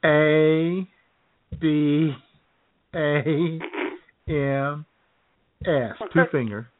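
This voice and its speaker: A man co-hosting a chat show, telling a story in a relaxed way, often chuckling and clearing his throat. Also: on the high side, drawn-out words. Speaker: podcast co-host. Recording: phone-like lo-fi audio.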